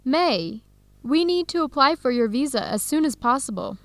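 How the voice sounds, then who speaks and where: An actress playing a warm, enthusiastic young American woman researcher in an educational radio drama. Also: high voice, lively intonation, normal speed, dry recording. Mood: excited, warm.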